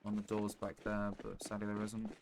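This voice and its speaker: A man's voice: lowish voice, monotone, moderate pace. A man live streaming a game, thinking aloud in casual commentary over a noisy stream mic.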